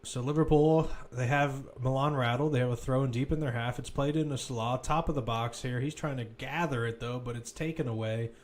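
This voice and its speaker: A man's voice: medium pitch, steady tone, brisk, few pauses, darkish tone. A man commentating live on a football match, mixing play-by-play calls with informal, conversational analysis.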